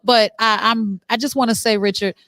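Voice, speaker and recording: A woman's voice: on the high side, fairly even intonation, moderate pace, fairly bright tone, no room sound. A woman hosting a show, conducting a casual interview on location in a conversational way. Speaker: talk show host interviewing.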